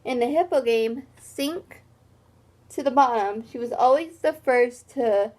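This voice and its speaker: High-voiced woman reading aloud, unhurried. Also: a little mellow. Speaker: read-aloud teacher. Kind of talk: picture book read-aloud with simple explanations.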